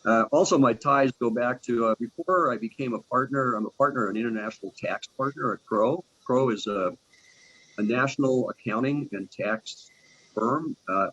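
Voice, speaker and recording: Halting older man on a dull call. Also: on the low side, fairly bright tone, slightly rough. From Argentina, raised in Canada. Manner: halting, many fillers and restarts. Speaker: tax partner giving a business talk. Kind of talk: informal presentation on a video call. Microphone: video call microphone.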